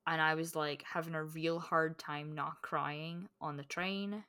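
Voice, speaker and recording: A woman's voice: deep voice, fairly even intonation, unhurried, pushed and bright, clean tone, no echo, studio quality. A woman co-hosting a podcast in a casual book chat, talking candidly with swearing and frequent self-interruption. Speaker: podcast co-host.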